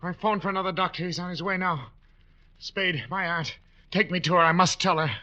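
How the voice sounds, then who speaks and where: Agitated, bitter poisoned nephew, high sharp voice, old radio melodrama. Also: male voice, fairly even intonation, brisk. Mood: agitated, pleading. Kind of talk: 1940s radio melodrama acting. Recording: muffled, slightly noisy old radio broadcast.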